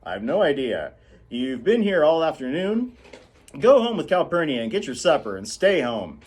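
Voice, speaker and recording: Man doing a tired lawyer dad, firm and earnest, a bit louder than the reader. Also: a little high, sing-song, normal speed, pitched above the performer's own voice. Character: weary patient lawyer father.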